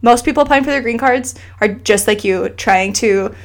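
A woman's voice: fairly high pitch, moderate pace. A woman talking as an interview guest, earnest and impassioned, speaking in a casual conversational way with frequent restarts. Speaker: guest sharing her family's immigration story.

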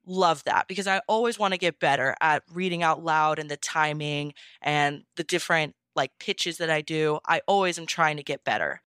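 A woman's voice: low voice, moderate pace, hardly any pauses, a bit bright, clear, resonant tone, dry recording, studio quality. A woman speaking as an interview guest, answering casually and reflectively in an earnest, appreciative way. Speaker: interview guest, amateur audiobook-style fan fiction reader.

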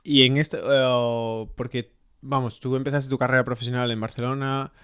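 A man from Spain co-hosting a podcast, reading a steady introduction and chatting casually. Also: medium pitch, moderate pace, clear, resonant tone, no room sound, clean studio sound. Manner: steady, scripted-sounding intro. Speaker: podcast co-host.

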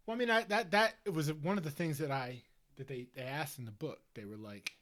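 A man's voice: fairly high pitch, brisk, a bit bright. A man from the Philadelphia suburbs co-hosting a casual book-club chat, thinking aloud in a rambling way with heavy use of "like". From Delaware County, Pennsylvania. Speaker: podcast co-host.

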